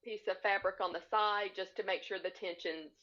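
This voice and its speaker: A woman's voice: mid-range voice, fairly even intonation, normal speed, a bit bright. A woman answering as a podcast guest in a casual, matter-of-fact way over dull call audio. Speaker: podcast guest, hobby quilter. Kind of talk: casual interview chat. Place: remote split-screen video call.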